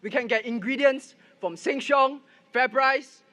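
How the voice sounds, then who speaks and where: A man's voice: very high voice, a little slow, bright, forceful tone. A young man giving an earnest, story-driven speech at a political rally. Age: young adult. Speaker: first-time voter speaking at rally. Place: outdoor rally with loudspeakers.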